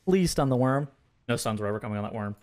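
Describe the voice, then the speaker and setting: A man's voice: medium pitch, expressive, quick pace, darkish tone. A man giving casual, blunt gameplay commentary with swearing, thinking aloud as he explains strategy, his voice a little tired. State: voice tiring, sore throat. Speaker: streamer.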